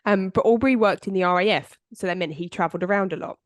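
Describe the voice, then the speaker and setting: Woman host, stuffy and congested, rambling casual delivery, dry close mic. Good for relaxed true-crime chat. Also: mid-range voice, fast, no room sound. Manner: chatty, rambling, with frequent fillers. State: congested, bunged-up voice. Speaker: podcast host.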